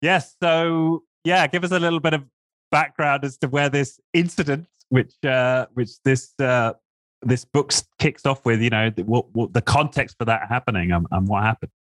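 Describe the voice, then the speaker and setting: A man's voice: medium pitch, moderate pace, fairly bright tone, no room sound. A man hosting a podcast interview, asking questions hesitantly, with many fillers and restarts. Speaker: podcast host.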